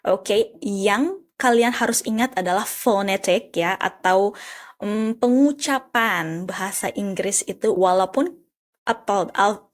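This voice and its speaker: A woman's voice: mid-range voice, measured pace. Chatty Indonesian-accented young woman. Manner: chatty, laughs often. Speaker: English tutor. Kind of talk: casual tutorial. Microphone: handheld microphone.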